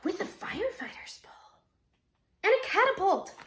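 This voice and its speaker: A woman voicing eager little twin boys with big plans in a storybook read-aloud, excited and emphatic. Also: very high-pitched, normal speed, whispered, pitched above the performer's own voice, full character voice.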